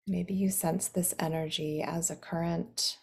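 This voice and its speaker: A woman leading a guided meditation in a soothing, instructing manner, some phrases softening into a breathy near-whisper. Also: on the low side, fairly even intonation, a little slow.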